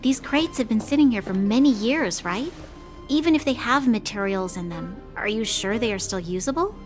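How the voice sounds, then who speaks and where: A woman voicing a gentle, idealistic young heroine in a video game, speaking earnestly in measured, formal phrasing. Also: a little high, expressive, faint music behind, lightly acted. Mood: doubtful.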